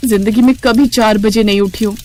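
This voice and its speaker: A woman's voice: mid-range voice, fairly even intonation, brisk, darkish tone, close to an everyday voice. A woman voicing a young newlywed daughter-in-law, questioning and put-upon, in a cartoon moral story. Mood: resentful.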